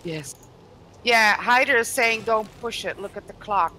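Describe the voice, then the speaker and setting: A woman's voice: fairly high pitch, a little slow, bright, forceful tone, slight background noise. A woman from Belgium with a light Belgian French accent, chatting and laughing while playing a game on a livestream and greeting viewers. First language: Dutch, French and German. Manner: giggly, chatty, reads out viewer comments. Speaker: livestream co-player. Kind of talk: casual gaming chat.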